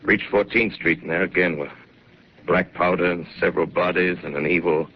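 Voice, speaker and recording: An actor playing a weary, thoughtful scholar who speaks in a measured, grave, skeptical way, in a 1930s radio drama recording with faint hiss. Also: male voice, deep voice, fairly even intonation, highs cut off. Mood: grave narration.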